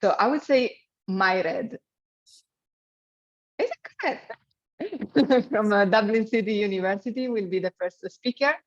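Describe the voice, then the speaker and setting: A woman's voice: medium pitch, expressive. Italian-accented woman hosting a video webinar. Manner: halting, many fillers, light joking. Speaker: webinar host and program manager. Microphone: video call. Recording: lo-fi video call audio.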